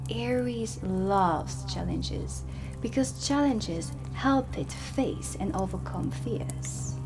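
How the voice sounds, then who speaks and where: A woman playing a stern, dry dark witch teacher in a soft-spoken ASMR roleplay, deliberate and often slipping toward a whisper. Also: medium pitch. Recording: close voice under prominent ambient music. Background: ambient music.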